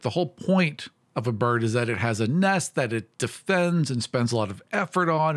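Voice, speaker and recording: Low-toned woman, dry close sound, casual chat. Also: no echo. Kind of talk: casual tabletop gaming discussion.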